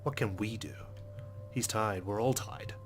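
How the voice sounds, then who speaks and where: A man's voice: on the low side, measured pace. A man reading a visual novel aloud as a let's player, mixing scripted narration with casual commentary.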